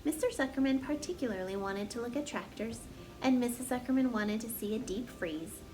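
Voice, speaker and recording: A woman's voice: a little high, expressive, brisk, darkish tone, soft background music. A woman narrating a children's story as a storyteller, reading aloud expressively.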